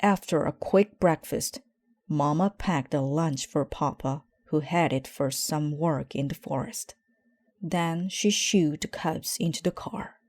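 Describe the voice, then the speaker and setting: A woman reading a children's story aloud in a measured, expressive way. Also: deep voice, no room sound. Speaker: storybook reader.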